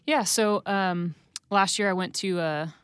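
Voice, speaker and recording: A woman's voice: medium pitch, unhurried, clean tone, no room sound. A woman in her thirties, a worship leader and songwriter, talking earnestly in a studio panel interview. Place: studio with mics.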